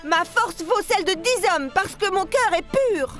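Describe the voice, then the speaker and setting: A woman's voice: very high-pitched, animated, moderate pace, fairly bright tone, soft background music. A woman voicing a brave, loyal young turtle boy with an earnest, emphatic delivery in a French children's cartoon. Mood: defiant.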